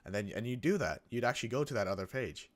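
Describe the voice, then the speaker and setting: A young man hosting a gaming channel, chatting casually over gameplay in a rambling way with lots of restarts, his voice a bit croaky from coming down with a cold. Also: medium pitch, lively intonation, fast talker. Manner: rambling, many restarts and fillers. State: getting sick, a bit croaky.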